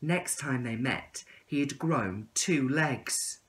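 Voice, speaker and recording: A man in his thirties reading a storybook aloud to young children in an expressive, deliberate way. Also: medium pitch.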